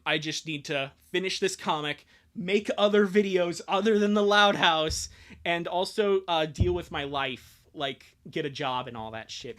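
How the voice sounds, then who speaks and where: A man's voice: fairly high pitch, a bit bright. A young man chatting casually on a livestream in a rambling, self-deprecating, profane way. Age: young adult. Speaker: streamer.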